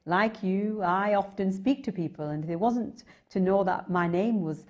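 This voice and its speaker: A woman with an English accent hosting a conversational interview, asking long, deliberate questions with hesitations. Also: medium pitch, darkish tone, dull audio. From England. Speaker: TV host, interviewer.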